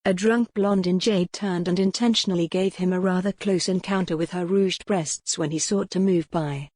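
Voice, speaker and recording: Female audiobook narrator, lowish pitch, scripted dramatic storytelling, historical romance, dry close sound. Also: steady tone, moderate pace, darkish tone, dry recording.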